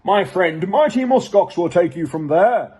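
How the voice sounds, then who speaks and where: Man doing a bellowing, high-pitched huge walrus. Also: animated, a little mellow, slightly rough, full character voice. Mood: proclaiming. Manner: bellowing, slow proclamations. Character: huge tusky walrus, hearty and proud.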